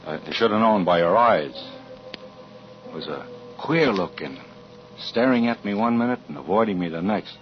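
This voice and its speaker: An actor as a low-voiced, troubled 1940s investigator. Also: male voice, lively intonation, close to an everyday voice. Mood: uneasy, confessional. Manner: halting, hesitant confessional narration. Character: troubled married insurance investigator recounting his story, anxious. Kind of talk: 1940s radio suspense acting. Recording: muffled vintage radio recording with music.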